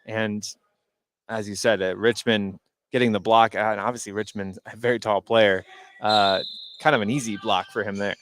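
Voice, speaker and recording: A man's voice: fairly low pitch, fairly even intonation, moderate pace, fairly bright tone, dry recording. An American man giving live basketball analysis as a color commentator, with hesitant, conversational phrasing.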